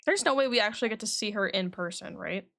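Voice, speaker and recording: A woman livestreaming a video game, commenting casually in a giggly, exclamatory and expressive way. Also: medium pitch, quick pace, vocal fry. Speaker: game streamer. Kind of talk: livestream game commentary, reading dialogue aloud.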